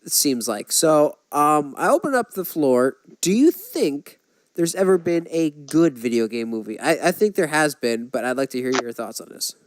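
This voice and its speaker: A man's voice: a little high, expressive, normal speed, dry recording. A man hosting a podcast, giving his opinions in a casual, conversational way. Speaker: podcast host. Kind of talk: casual chat.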